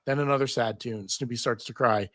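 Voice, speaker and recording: A man's voice: mid-range voice, fairly quick, a bit bright, dry recording. A man narrating stage directions at a live table read.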